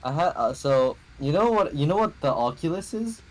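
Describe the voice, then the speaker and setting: A man's voice: a little high, very animated, normal speed, low-quality recording. A young man co-hosting a casual podcast, telling a story in a hesitant, filler-heavy way with many restarts. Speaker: podcast co-host. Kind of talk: casual chat.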